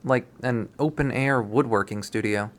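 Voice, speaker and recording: A man reading a visual novel aloud as first-person narration, in a casual, expressive style. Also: mid-range voice, moderate pace. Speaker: visual novel readthrough host.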